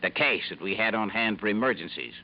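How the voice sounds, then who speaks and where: Irritable tightfisted executive for radio drama; very low, swooping delivery, pompous and curt. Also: male voice, lively intonation, fairly quick, gravelly. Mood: stern. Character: stingy, pompous, irritable male company boss. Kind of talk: old-time radio drama acting. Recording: muffled vintage radio recording, faint hiss.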